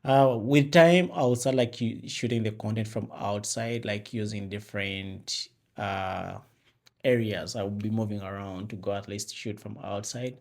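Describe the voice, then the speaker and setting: A young man from Uganda with a Ugandan accent talks to his viewers in a relaxed, rambling way, full of fillers and restarts. His voice sits a little low. He records himself on an external microphone into a phone. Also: unhurried. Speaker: vlogger host. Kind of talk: casual talk to camera. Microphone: external mic with phone camera.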